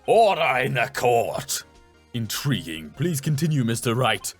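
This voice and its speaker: A man's voice: mid-range voice, very animated, measured pace, slightly rough, faint music behind. A man voicing a stern, solemn elderly male judge in a video game, speaking with ponderous authority. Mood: commanding. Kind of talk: video game character dub.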